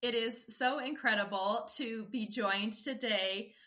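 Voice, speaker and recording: Eager podcast host lady, forties, warm and gushy, clear bright tone. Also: a little high, unhurried. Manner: enthusiastic, effusive. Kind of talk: podcast interview.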